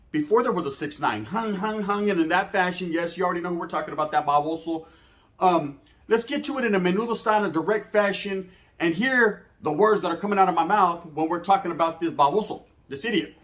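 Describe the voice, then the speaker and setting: High-voiced playful male commentator, muffled. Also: fairly bright tone. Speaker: YouTube commentator. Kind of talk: commentary video. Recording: muffled clip played on stream.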